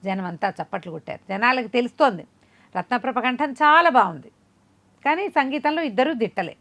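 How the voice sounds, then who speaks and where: Expressive woman telling a folk tale. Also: on the high side, fairly quick. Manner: expressive, conversational, asks rhetorical questions while narrating. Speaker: storyteller. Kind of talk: animated oral storytelling of a folk tale.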